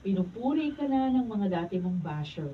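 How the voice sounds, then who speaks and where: A woman playing a gentle, soothing wife in a Filipino radio drama. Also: medium pitch, big pitch swings, moderate pace, lightly acted. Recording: distant dull video sound, faint noise.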